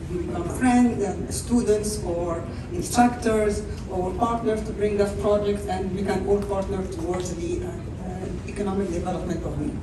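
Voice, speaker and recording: A middle-aged woman with an Egyptian accent talks eagerly about her teaching, laughing often and pausing on fillers. Her voice reaches the recording from well across a large, echoing hall, over a steady hum of crowd noise. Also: medium pitch. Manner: warm, laughing, enthusiastic, with fillers. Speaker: teaching professor. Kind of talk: informal talk at a networking event. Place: large indoor event space. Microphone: handheld microphone, heard from far off. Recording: echoey room from far off, background noise.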